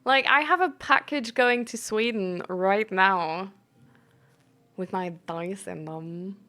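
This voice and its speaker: A young woman chatting casually on a live stream, full of fillers and quick reactions to chat. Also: mid-range voice, pushed and bright. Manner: chatty, filler-heavy, reacts aloud to chat with surprise and laughter. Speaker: streamer and peer support coach.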